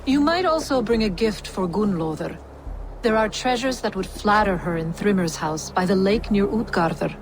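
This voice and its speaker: An actress playing a cool, condescending giantess who bargains in a fantasy video game. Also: female voice, medium pitch, expressive, normal speed, noisy background, close to an everyday voice. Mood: cold. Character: cold, scheming giantess offering a deal. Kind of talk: video game cutscene acting.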